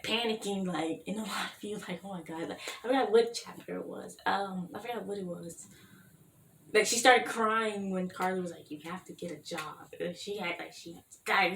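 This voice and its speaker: A tired young woman, her voice going hoarse, chatting in a rambling vlog with lots of "like" and "you know what I mean". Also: medium pitch. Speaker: YouTuber, fan-fiction writer. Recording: echoey room with faint hiss.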